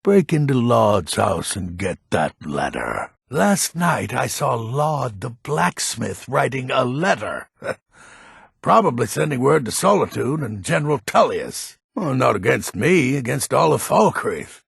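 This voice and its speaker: A man playing a gruff, grumpy but honourable old Nord hunter in a fantasy video game, with a gravelly, husky voice and a weighty, deliberate manner. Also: medium pitch, very animated, no room sound, studio quality, heavily acted. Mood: suspicious, gruff. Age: older.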